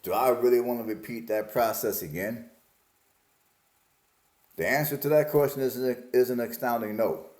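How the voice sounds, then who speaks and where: A man's voice: medium pitch, moderate pace, long pauses, darkish tone, reverberant. A man in his forties from Alabama, musing aloud in a casual, plain-spoken vlog about his life. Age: 40s. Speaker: vlogger. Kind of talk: casual reflective monologue.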